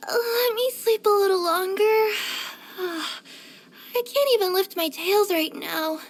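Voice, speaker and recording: Super high pitched cute cat girl courier for a video game, eager n cheery, really animated. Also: moderate pace, a little hiss or hum, exaggerated voice. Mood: cozy, sleepy. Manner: expressive, cutesy, with gasps and hesitant murmurs. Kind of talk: scripted video game character voice lines.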